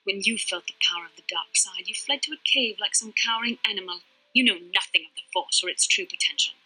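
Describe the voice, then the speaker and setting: Proud dark side young woman for a game, super intense n haughty, sharp bright voice, bit raspy. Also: medium pitch, fast talker, poor audio. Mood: scornful, defiant. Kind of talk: dramatic video game acting.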